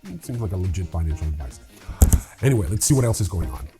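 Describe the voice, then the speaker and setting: A man's voice: low voice, big pitch swings, fast, over loud music. A man hosting a news show, delivering casual scripted commentary with wry, sarcastic asides. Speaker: crypto news show host.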